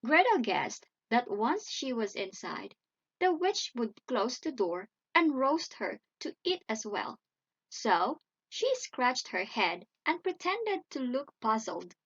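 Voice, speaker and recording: A woman reading a fairy tale aloud as a bedtime story, in a dramatic, measured storytelling manner. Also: on the high side, sing-song, breathy edge, lo-fi sound. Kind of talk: expressive read-aloud bedtime story narration.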